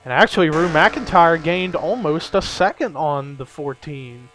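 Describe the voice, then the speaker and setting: A young man with a somewhat high voice runs casual play-by-play on a simulated race. He is chatty and builds excitement toward the finish. The recording carries a faint hiss of noise. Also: measured pace, poor audio. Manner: chatty, lifts energy at race calls. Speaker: race commentator.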